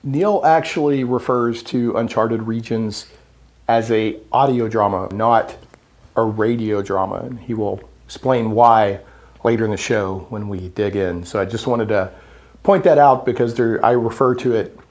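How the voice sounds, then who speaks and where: A man's voice: fairly low pitch, unhurried, darkish tone, no echo. A man hosting a radio show, chatting casually in a jokey, rambling way with frequent digressions. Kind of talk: casual, rambling interview chat.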